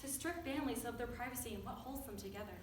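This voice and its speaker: A woman's voice: on the high side, fast talker, lightly acted. A teenage girl giving a poetic recitation in a readers theater performance. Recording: very echoey room, distant mic, faint noise.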